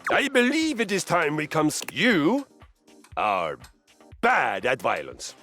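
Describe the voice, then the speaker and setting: An actor playing a boastful, swaggering male warrior who coaches fitness, in a booming, theatrical game voice. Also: wildly swooping pitch, takes it slowly, sharp tone, soft background music, full character voice. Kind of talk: game voice acting.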